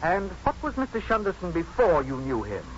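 Accusing, formal professor, 1950s radio play. Prosecutorial, lively intonation, faint noise. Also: male voice, sits high, moderate pace. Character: pompous, accusing male professor, prying and indignant. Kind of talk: 1950s radio drama acting. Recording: muffled, noisy 1950s radio broadcast.

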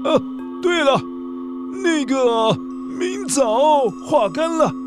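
A high, swooping fretful, excitable master of the house in a children's story. He drags out his words slowly, sighing and exclaiming. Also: music in the background, heavily acted. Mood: resigned. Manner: dramatic, drawn-out, full of sighs and exclamations. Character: excitable, fretful wealthy master who paints animals. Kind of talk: children's audio story acting.

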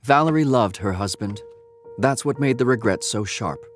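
A man giving measured, literary narration for an audio drama, telling the story in the third person. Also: medium pitch, expressive, soft background music.

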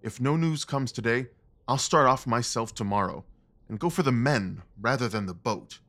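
A man acting a restless, commanding gentleman detective in an audio drama, speaking formally and with intense emphasis. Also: mid-range voice, normal speed, natural voice. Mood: frustrated, restless.

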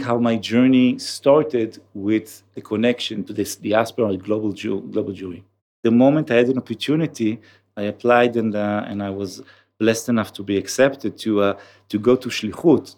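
A man's voice: on the low side, normal speed, darkish tone. A middle-aged man from Israel with an Israeli accent, answering interview questions earnestly with many fillers and restarts. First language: Hebrew. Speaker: CEO guest.

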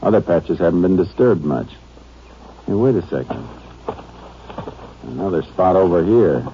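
An American man acting a laconic, sharp-eyed Texas Ranger who questions tersely and matter-of-factly in an old-time radio drama. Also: low voice, animated, measured pace. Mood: businesslike. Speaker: actor in a radio crime drama. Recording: muffled, noisy vintage radio recording.

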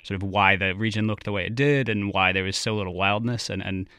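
Bright, crisp, low-pitched male guest. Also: fairly even intonation, no echo. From Connecticut, United States. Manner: halting, repeats words as he thinks. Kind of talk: conversational interview answer.

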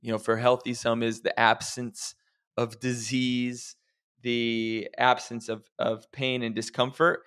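Encouraging male health coach, mid-pitched. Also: level tone, slow pace, fairly bright tone, clean tone. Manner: reflective, encouraging, addresses listeners directly. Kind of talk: casual podcast chat.

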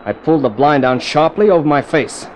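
A man's voice: on the high side, lively intonation, brisk, a little hiss or hum, natural voice, low-quality recording. A man playing a cool, wry jet test pilot who narrates his own adventure in clipped, dramatic 1950s radio thriller style. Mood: tense, wry narration. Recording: old radio drama with music underneath.

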